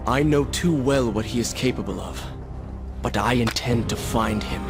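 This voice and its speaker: An actor playing a proud, sardonic young Persian prince in a video game, with theatrical, formal delivery. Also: male voice, medium pitch, normal speed, music in the background, lightly acted. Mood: earnest, resolved. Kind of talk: dramatic video game acting.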